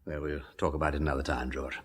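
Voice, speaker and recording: An actor playing a breezy, kindly aristocratic English gentleman sleuth with an upper-class accent in a radio drama. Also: extremely low pitch, flat delivery, fast talker, slightly rough. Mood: breezy, sympathetic. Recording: reverberant hall-like room.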